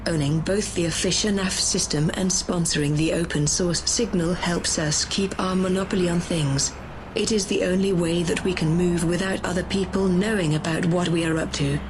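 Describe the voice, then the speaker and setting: A woman's voice: fairly low pitch, background noise, lightly acted. A woman playing a bossy, menacing, power-hungry conspirator in a sci-fi audio drama. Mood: calculating.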